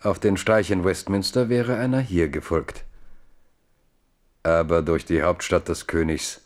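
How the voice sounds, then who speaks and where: A man with a low voice narrates a historical radio play. His delivery is measured and literary, clearly scripted storytelling. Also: fairly even intonation.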